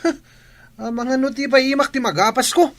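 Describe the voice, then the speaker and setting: An actor playing a carefree, cheeky young student who talks flippantly and defensively in a radio drama. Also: male voice, very high-pitched, fairly even intonation, moderate pace, fairly bright tone. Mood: flippant, protesting. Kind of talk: regional radio drama acting.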